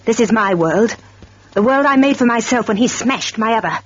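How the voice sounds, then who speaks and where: An actress playing a proud, hardworking woman farmer with a firm will, brisk and emphatic in old radio drama style. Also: a little high, animated, slightly rough. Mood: bitter, resolute. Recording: dull, noisy old radio recording.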